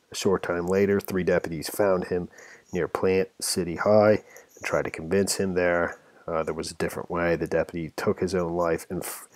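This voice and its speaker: A man giving informal commentary on a news story, part reading and part ad-libbing, halting and rambling as he stumbles over words. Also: low voice, darkish tone, no echo.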